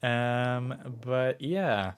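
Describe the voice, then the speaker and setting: A man's voice: medium pitch, very slow, clear, resonant tone. A man streaming a video game, musing aloud with lots of fillers while sick and losing his voice. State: sick, starting to lose his voice. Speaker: gaming livestreamer. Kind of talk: casual gameplay commentary.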